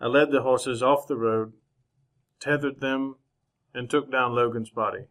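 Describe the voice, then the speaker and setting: A man playing a composed, honorable gentleman newspaperman in a re-created old-time radio western, speaking formally and with measured politeness. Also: medium pitch, steady tone, lightly acted. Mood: somber narration. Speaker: actor narrating as lead character.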